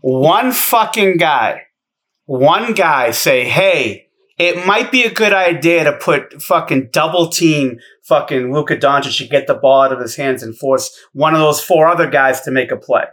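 Man in his thirties, podcast co-host, sharp, bright voice, blunt, sweary rants. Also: a little high, lively intonation, measured pace. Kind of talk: profane sports podcast banter.

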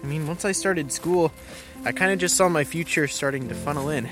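A man playing a chatty, inquisitive and slightly uneasy hiking companion in an audio drama, with an expressive, questioning delivery. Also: fairly high pitch, brisk, over music, natural voice. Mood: curious, wistful. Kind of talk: naturalistic audio drama dialogue.

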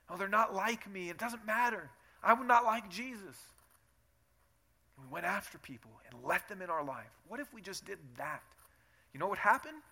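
Emphatic bright-voiced male pastor. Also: a little high. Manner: earnest, emphatic, rising on key phrases. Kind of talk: sermon.

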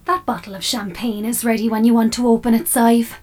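A woman's voice: on the high side, steady tone, a little fast, a little mellow, natural voice. A woman playing an opinionated, chirpy talking smart fridge in an audio drama, with a cheeky manner. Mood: helpful, chirpy.

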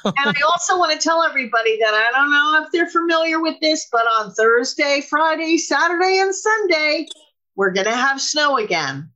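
A woman's voice: sits high, lively intonation, normal speed, sharp tone, highs cut off. A woman from New Jersey co-hosting a talk show, telling stories in a chatty, candid, self-deprecating way. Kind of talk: casual chat, anecdotal storytelling.

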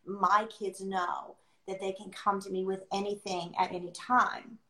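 A middle-aged woman speaking reflectively and conversationally on a livestream, earnest and deliberate, pausing as she chooses her phrases. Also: mid-range voice, flat delivery, pushed and bright. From born outside the US, adopted into America. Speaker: podcast host sharing her experience as an adoptive mother. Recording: roomy, echoing sound.

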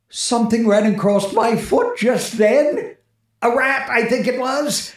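An actor playing a frightened, lonely disc jockey in 1940s radio horror, stammering nervously and rambling to himself. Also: male voice, very high voice, slow. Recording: echoey vintage 1940s radio recording with music.

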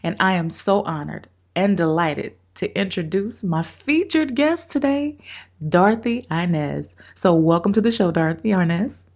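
A woman's voice: on the low side, very animated, moderate pace, a little mellow. A woman hosting an interview show, bubbly and effusive, laughing often and talking over a remote call. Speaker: podcast host. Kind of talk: interview chat.

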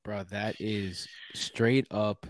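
A man's voice: fairly low pitch, fairly even intonation, extremely slow, no echo. A man from Houston co-hosting a casual sports podcast. He talks in a rambling way and repeats words while he thinks. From Houston, Texas. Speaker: podcast co-host.

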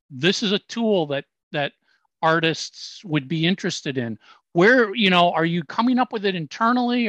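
A man's voice: a little high, fairly bright tone, dry recording. A man hosting a podcast, asking a long rambling question with restarts. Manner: rambling question with self-corrections. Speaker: podcast host. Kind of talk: podcast interview. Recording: dull lo-fi sound.

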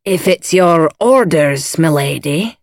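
Storybook role: dour, stubborn Scottish head gardener played by a woman, slow, firm delivery, very dry close sound. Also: low voice, dry recording. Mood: menacing deference. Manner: slow, firm, noncommittal, quietly menacing. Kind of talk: audiobook character voice.

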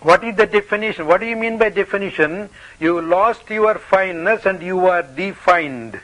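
High bright male teacher, playful. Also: a little slow, low-quality recording. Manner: playful, questioning, short phrases. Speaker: spiritual teacher. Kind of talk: spiritual talk with live interpreter.

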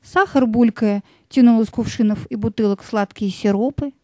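A woman's voice: a little high, moderate pace, warm tone, no echo, clean studio sound. A woman narrator telling a scripted fairy tale as part of an audio play.

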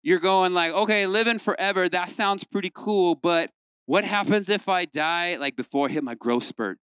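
A young man gives a lively, joking sermon to a youth group in a fairly high, crisp voice. The sound is close and dry, with no room around him. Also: moderate pace, no echo. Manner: lively, playful, acts out quoted voices. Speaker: youth pastor.

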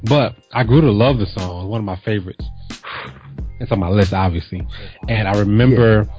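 A man's voice: on the low side, brisk, a little mellow, muffled recording, faint music behind. A man co-hosting a casual podcast, telling stories animatedly and swearing freely. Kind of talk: casual chat, storytelling.

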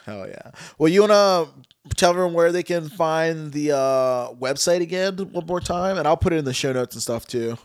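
A man's voice: fairly high pitch, moderate pace, smooth, no echo, clean studio sound. A man hosting a podcast in a laid-back, joking casual chat, with a stuffy, nasal voice and easy swearing. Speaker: podcast host.

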